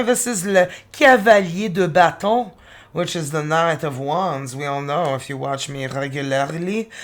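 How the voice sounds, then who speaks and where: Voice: mid-range voice, a bit bright. A tarot reader from northern Ontario with a Franco-Ontarian accent, a native French speaker, chatting casually with laughing, cheeky asides while reading cards. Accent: Franco-Ontarian French-Canadian. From northern Ontario, Canada.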